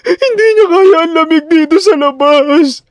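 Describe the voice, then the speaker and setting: A man's voice: very high-pitched, a little slow, dry recording, pitched above the performer's own voice. A man voicing a desperate poor teenage son in an audio drama, with a tearful, imploring manner. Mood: tearful pleading.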